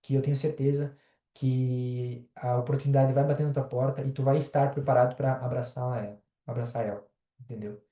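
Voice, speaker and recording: A man's voice: mid-range voice, level tone, brisk, mellow. A Brazilian man speaking conversationally as an interview guest, recorded in an echoey room at some distance from the mic. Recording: roomy echo, distant from mic.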